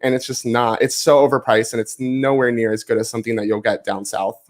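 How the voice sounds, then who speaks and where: A man's voice: mid-range voice, level tone, fairly quick. Frank Cuban-American man from South Florida. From South Florida, Cuban descent. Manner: frank, opinionated, self-deprecating. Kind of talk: casual panel chat.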